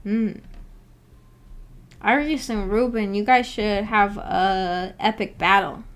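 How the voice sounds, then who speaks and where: A woman hosts a casual livestream in a medium-pitched voice. She is hesitant and self-effacing, often giggly, as she reads chat messages and responds to them. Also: steady tone, slow pace. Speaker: livestream host. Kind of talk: casual livestream chat, reading and answering viewer comments.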